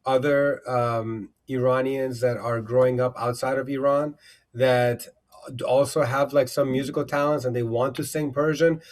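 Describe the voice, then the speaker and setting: A man's voice: mid-range voice, level tone, moderate pace. Rambling effusive male stream host. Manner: effusive, rambling with many fillers and restarts. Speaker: livestream host. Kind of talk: casual livestream chat.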